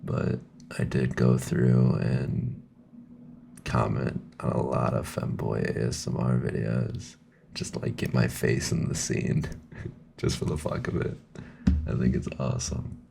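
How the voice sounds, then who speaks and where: A young man making an ASMR video, talking softly in a laid-back, slangy way and often whispering. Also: rumbling low, little pitch movement, a little slow. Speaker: ASMR creator. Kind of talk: casual ASMR ramble. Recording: clear background noise.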